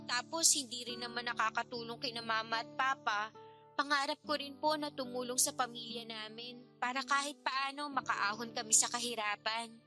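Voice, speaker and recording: Woman acting high-voiced, polite young Filipina niece. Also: close to an everyday voice. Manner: polite, deferential, earnest. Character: grateful, shy, hardworking college-bound young niece. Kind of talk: Filipino radio drama acting.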